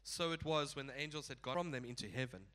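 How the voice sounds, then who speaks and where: A man's voice: mid-range voice, quick pace, sharp tone. Nasal-sounding man preaching with a cold. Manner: casual, chuckling asides. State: stuffy blocked nose. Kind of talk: sermon.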